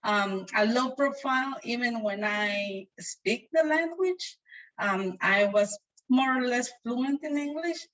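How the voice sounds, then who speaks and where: A woman's voice: mid-range voice, a bit bright, distant mic, poor audio. A woman from Guatemala with a Guatemalan accent, a Spanish speaker telling her personal story earnestly on a video call panel.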